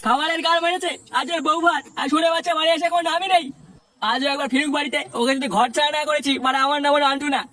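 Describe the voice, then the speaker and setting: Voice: high voice, fairly even intonation, rapid-fire, a bit bright. পিচ বাড়ানো গলায় একজন অভিনয়শিল্পী কার্টুন কমেডির এক বদমেজাজি, গালিবাজ মাঝবয়সি বাবার চরিত্র করছে।. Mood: threatening. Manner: scolding, blustering, crude. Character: irascible foul-mouthed middle-aged village father. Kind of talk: exaggerated cartoon comedy dialogue. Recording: distant sound with music behind.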